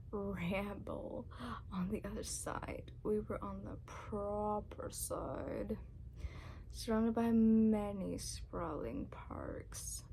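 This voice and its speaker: A woman reading a story aloud in ASMR style, speaking softly and often dropping into a whisper. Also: mid-range voice, gravelly, slight background noise, rough recording. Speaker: ASMR reader.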